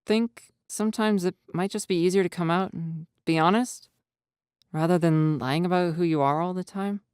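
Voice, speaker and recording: A man's voice: sits high, moderate pace, a little mellow, smooth, dry recording, studio quality, pitched above the performer's own voice, close to an everyday voice. A man voicing a nervous, anxious teenage boy who speaks tentatively in a storybook reading. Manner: tentative, worried.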